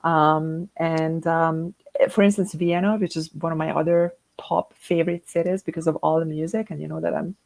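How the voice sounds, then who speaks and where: Low gravelly woman from Hungary. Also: level tone, normal speed, hardly any pauses, darkish tone, no echo. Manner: upbeat, laughs often, repeats words when starting sentences. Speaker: interview guest. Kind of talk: casual interview chat.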